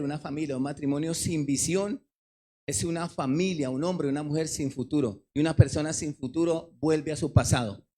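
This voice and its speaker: Impassioned high-voiced male pastor. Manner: impassioned preaching, swings from loud to soft. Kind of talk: sermon.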